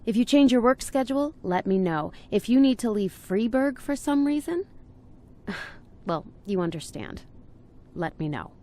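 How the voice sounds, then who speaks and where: A woman's voice: a little high, expressive, unhurried, darkish tone. An actress playing a diligent, determined woman investigator in a video game, speaking in a formal, methodical way. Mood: polite, businesslike.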